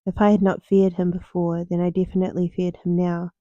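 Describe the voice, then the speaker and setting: A young woman reading her own fiction aloud as soft ASMR narration, unhurried, with deliberate pauses. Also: on the low side, little pitch movement, no room sound, highs cut off.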